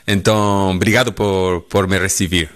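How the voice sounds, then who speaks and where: A middle-aged Argentine man with a Spanish accent, a holistic therapist guest speaking deliberately in a radio studio interview. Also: deep voice. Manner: deliberate, lively intonation with frequent "né?".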